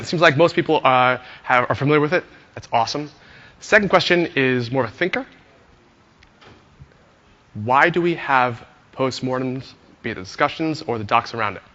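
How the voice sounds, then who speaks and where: A hoarse man giving a friendly conference talk to an audience, telling a story with many "uh" fillers. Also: mid-range voice, pushed and bright, big-room sound, dull audio. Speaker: conference speaker, engineer.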